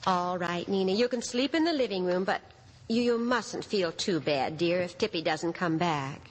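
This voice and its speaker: A woman playing a gentle, worried mother, soothing yet firm, in old-time radio drama style. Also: on the low side, animated, normal speed. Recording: noisy vintage radio drama recording.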